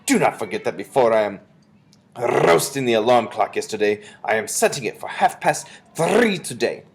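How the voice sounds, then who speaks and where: A performer voicing an imperious female witch leader in a storybook, commanding and theatrical with drawn-out emphasis. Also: mid-range voice, sing-song, creaky, background noise. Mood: gloating, commanding. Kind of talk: storybook character voice.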